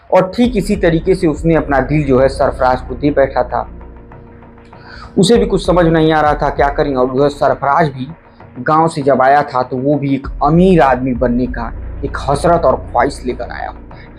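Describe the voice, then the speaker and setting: A man's voice: a little high, a little mellow, poor audio. A man narrating a true crime story in an earnest, emphatic storytelling manner, speaking to listeners as friends.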